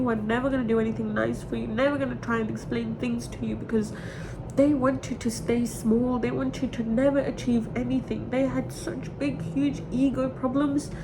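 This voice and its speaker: A woman in her twenties talking candidly and emotionally in a rambling personal confession, repeating herself for emphasis. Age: 20s. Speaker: vlogger. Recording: clearly noisy with low rumble.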